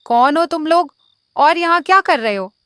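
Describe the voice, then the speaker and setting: A lonely, misunderstood old woman from a storybook voiced by a female narrator. She is plain-spoken and plaintive, with a fairly high voice. The sound is dry and close. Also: expressive, moderate pace, sharp tone, dry recording. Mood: wary.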